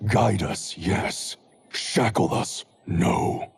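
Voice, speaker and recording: A man's voice: fairly low pitch, extremely slow, vocal fry, a little hiss or hum, exaggerated voice. An actor playing a cold, proud male clan grand master in a game cutscene. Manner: cold, contemptuous. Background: orchestral game music.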